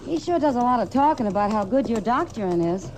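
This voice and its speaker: An actress playing an easygoing, gently teasing frontier saloon woman, folksy and relaxed, in 1950s radio western style. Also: a little high, fast talker. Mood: easy, teasing. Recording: noisy old radio drama recording.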